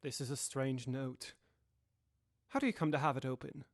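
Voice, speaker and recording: A young man playing a sober, sceptical gentleman lawyer in an audio drama, speaking in a formal, measured way. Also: mid-range voice, steady tone, no room sound, studio quality, natural voice. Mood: puzzled. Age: young adult.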